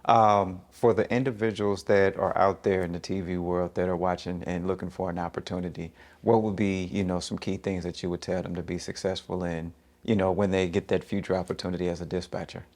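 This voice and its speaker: A man's voice: low voice, little pitch movement, brisk, hardly any pauses, a little mellow. A man hosting a local TV interview, talking informally with his guests and giving short affirmations. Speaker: show host interviewer.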